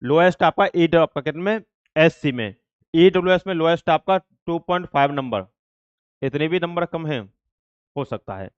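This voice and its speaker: A man's voice: a little high, lively intonation, normal speed, clear, resonant tone, dry recording, highs cut off. A man explaining exam updates to students as a presenter, in an informal, repetitive way, reading out notices and figures. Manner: repetitive, filler-heavy explaining that addresses students directly. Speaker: exam-update presenter for an online coaching channel.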